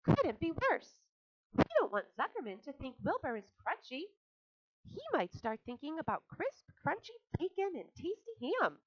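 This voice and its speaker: A woman's voice: high voice, normal speed, no echo, pitched above the performer's own voice. A woman voicing a kind, wise female barn spider, coaxing and polite. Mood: urging, warm. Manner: bright, lively, coaxing and polite. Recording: dull lo-fi audiobook recording.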